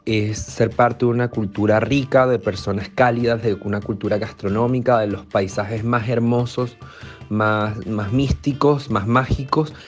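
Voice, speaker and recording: A man's voice: lowish voice, fairly even intonation, measured pace, faint music behind. A man with a Venezuelan accent speaking off the cuff, hesitantly searching for his words. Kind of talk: unscripted personal statement.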